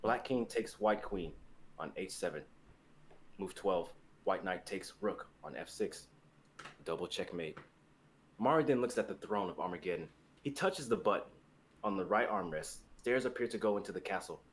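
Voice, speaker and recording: A young man narrates a dramatic script, reading out scene and action directions. His voice is fairly low. The recording carries clear background noise and room ambience. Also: lively intonation, normal speed. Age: young adult.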